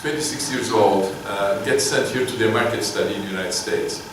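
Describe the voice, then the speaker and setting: A middle-aged man gives a guest lecture, pausing often with fillers and self-deprecating asides. His voice sits low and reaches the mic from a distance. The big hall echoes heavily, with a clear room hum behind him. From Turkey. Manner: halting, filler-heavy, self-deprecating humor. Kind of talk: informal university lecture. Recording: echoey hall heard from afar.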